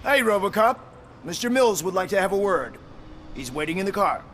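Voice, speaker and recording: A man's voice: high voice, very animated, a little fast, fairly bright tone, soft background music. An actor playing a male bystander in a video game. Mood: eager. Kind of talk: video game dialogue acting.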